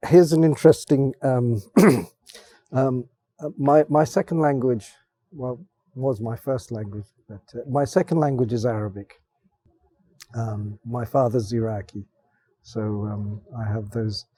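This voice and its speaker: A man speaks on a roundtable panel, his voice mellow and dark. He explains ideas thoughtfully, pausing and restarting his phrases as he goes. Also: medium pitch, fairly even intonation, husky, no room sound. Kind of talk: roundtable discussion. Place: theater stage panel.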